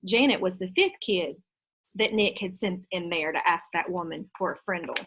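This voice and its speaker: A woman reading a children's story aloud in an expressive, teacherly storytelling style. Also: lowish voice, moderate pace, slight background noise.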